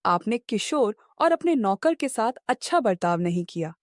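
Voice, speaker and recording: Woman as a high-voiced, earnest village wife. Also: sing-song, normal speed, dry recording, studio quality. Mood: reproachful. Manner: earnest, pleading, reproachful. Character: devout, worried, reproachful village wife and mother. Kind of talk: Hindi moral cartoon story acting.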